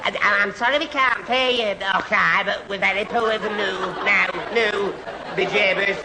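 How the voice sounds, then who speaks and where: A man's voice: high voice, normal speed, over a noisy crowd. A man playing a dim, muddled, put-upon lad, stammering through a 1970s radio sitcom. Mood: flustered. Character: dim, flustered, put-upon lad posing as someone's dad. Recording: noisy old radio recording, audience behind.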